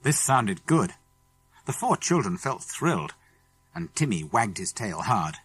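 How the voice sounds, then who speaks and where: Husky British man narrating a children's story. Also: fairly low pitch, big pitch swings, a little slow, fairly bright tone, faint music behind. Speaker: audiobook narrator.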